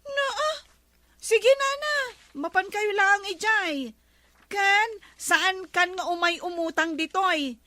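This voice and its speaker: A woman's voice: extremely high pitch, slow pace, pushed and bright, no echo, heavily acted. A woman playing a guarded, argumentative village store woman in an Ilocano comedy drama. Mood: firm, argumentative.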